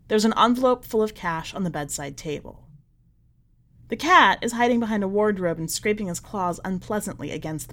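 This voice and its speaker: A woman narrating literary fiction from a script, with measured, deliberate phrasing that falls away at the ends of lines. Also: medium pitch. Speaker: podcast editor reading a short story aloud.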